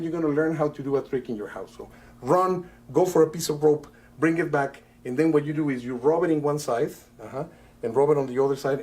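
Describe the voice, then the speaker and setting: A middle-aged man from Italy, a magician doing live patter for a rope trick, talking in a chatty, halting way with many fillers. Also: on the high side, darkish tone. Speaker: magician guest.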